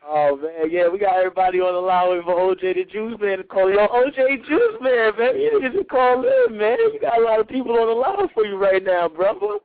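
Dude hosting call-in radio, slangy, shout-outs, kinda high voice, tinny and dry. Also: male voice, big pitch swings, fairly quick, few pauses, no room sound. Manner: loose, hype slang, calls out shout-outs. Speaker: radio host. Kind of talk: casual call-in radio chat. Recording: tinny phone-like line.

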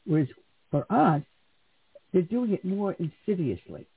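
An opinionated male talk radio co-host tells stories with indignant emphasis. His voice is husky and a little high. It has a thin, phone-like band. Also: sing-song, measured pace. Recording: tinny phone-like sound.

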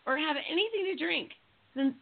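A woman's voice: high voice, expressive, brisk, creaky. A woman in her thirties chatting casually as a host, candid and self-deprecating as she tells everyday stories. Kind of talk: casual chat. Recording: dull phone-like audio.

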